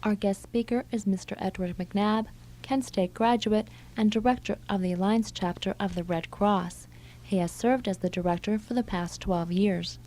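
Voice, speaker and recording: A woman reading scripted lines as a radio host, in a formal, measured way. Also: medium pitch, fairly even intonation, warm tone, low-quality recording. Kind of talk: scripted college radio interview and announcing.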